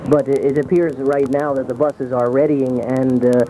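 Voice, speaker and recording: A man working as a radio news reporter, reporting live from the scene in improvised, halting but formal phrasing. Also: medium pitch, fairly even intonation. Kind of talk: live on-scene reporting and brief street interviews. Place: outdoors at an airport. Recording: muffled, noisy 1980s radio aircheck.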